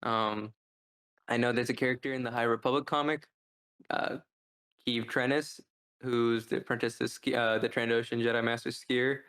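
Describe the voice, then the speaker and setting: A man in a casual co-hosted discussion, speaking in an even, fairly flat tone. He thinks aloud, hesitating and restarting as he works through his points like a reviewer among friends. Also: medium pitch, normal speed. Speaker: podcast co-host.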